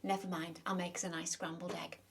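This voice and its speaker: A woman's voice: fairly low pitch, brisk, slightly rough. A woman voicing a plucky young boy who is nervous but brave, in a children's story. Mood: earnest. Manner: breathless, hushed then excited.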